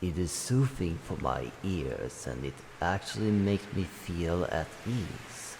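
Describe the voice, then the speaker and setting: A man voicing an ancient dragon guardian, solemn, calm and kindly, speaking slowly in formal, measured phrases in a roleplay addressed to the listener. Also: full character voice. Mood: calm, fond. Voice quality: deep, gravelly. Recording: long cavernous reverb, faint background noise.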